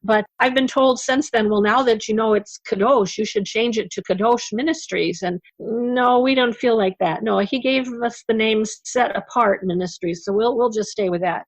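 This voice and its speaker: A woman's voice: a little high, normal speed, hardly any pauses, no room sound, dull audio. A woman guest giving an earnest, reflective testimony in a conversational interview, now and then laughing lightly. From United States. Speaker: interview guest, ministry founder.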